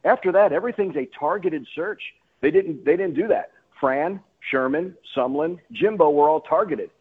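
A man's voice: fairly high pitch, moderate pace, slightly rough, narrow, tinny audio. A male sports announcer speaking as a guest on a radio talk show, emphatic and often repeating and rephrasing himself.

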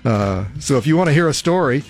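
An older American man hosting a radio talk show, speaking casually in a halting, reflective, rambling way on a close studio microphone. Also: medium pitch, expressive, soft background music. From Nebraska, United States.

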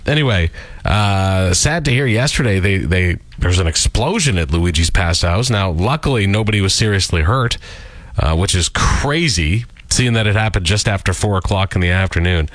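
A man's voice: deep voice, animated, normal speed, fairly bright tone, no echo. A man from the Canadian prairies co-hosting a radio show, telling stories in a rambling way with lots of fillers and chuckles. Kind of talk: casual radio banter.

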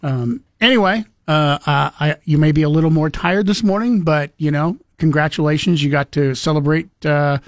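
A man's voice: a little high, normal speed, few pauses, no room sound, clean studio sound. A man co-hosting a casual morning radio show, chatty and storytelling, laughing often. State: recovering from lost voice.

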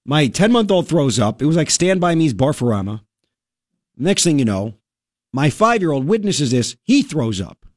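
A male radio host telling a story in casual talk-radio style, animated and full of quick asides. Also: fairly high pitch, dry recording, studio quality.

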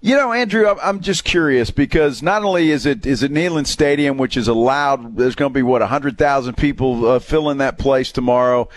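A man's voice: medium pitch, fairly quick, few pauses, no room sound. A man hosting a sports radio show, casually asking a guest questions.

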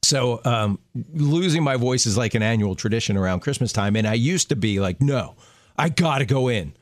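Guy cohosting a radio show, easygoing, laughs a lot, dry close mic, middle pitch. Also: lively intonation, normal speed, no room sound. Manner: easygoing, chuckles often. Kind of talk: casual radio chat. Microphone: studio microphone.

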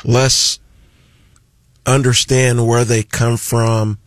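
A man with a deep voice, a guest on a radio talk show, speaking plainly and deliberately. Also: flat delivery, no room sound, clean studio sound. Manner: slow, deliberate, plain-spoken. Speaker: guest, community activist. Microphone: studio microphone.

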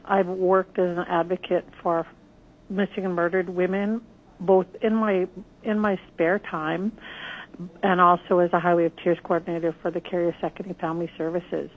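A woman's voice: lowish voice, flat delivery, moderate pace, breathy edge. A middle-aged woman from northern Canada, running for office and answering interview questions over the phone in an earnest, plain-spoken way, often restarting her sentences. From northern British Columbia, Canada. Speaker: political candidate. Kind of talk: radio interview. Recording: tinny phone line.

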